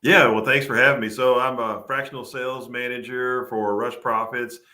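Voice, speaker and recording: A man's voice: mid-range voice, level tone, normal speed, bright, forceful tone. A man who works as a sales manager, explaining his work casually as an interview guest.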